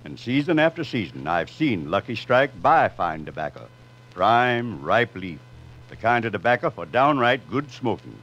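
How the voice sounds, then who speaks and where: Lowish American man, muffled radio testimonial. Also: sing-song, normal speed, a bit bright. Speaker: testimonial reader. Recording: muffled vintage radio broadcast.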